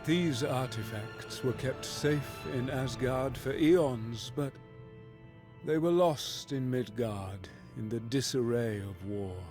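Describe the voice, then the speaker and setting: A man playing a grave Norse god and ruler in a video game, speaking in a measured, stately, authoritative way. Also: medium pitch, a little mellow, close to an everyday voice. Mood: grave, commanding. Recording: music under the voice.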